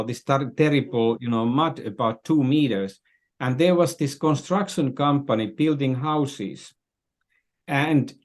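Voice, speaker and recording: A man's voice: mid-range voice, a little mellow. An older man with a Finnish accent speaking as an interview guest, explaining things conversationally and often searching for words and repeating phrases. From Finland. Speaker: interview guest, business strategist.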